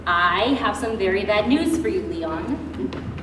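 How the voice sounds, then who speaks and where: A young woman acting a patient, matter-of-fact, gently teasing wife in an amateur stage comedy, with deliberate, projected delivery. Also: animated. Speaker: actor. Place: indoor stage, recorded from far off. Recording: distant, echoey, noisy low-quality stage recording.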